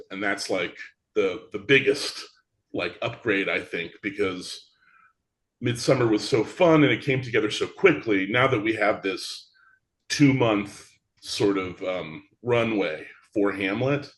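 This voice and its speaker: A man talking as a theatre creator guest in a casual interview, long-winded and rambling with frequent restarts. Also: on the low side.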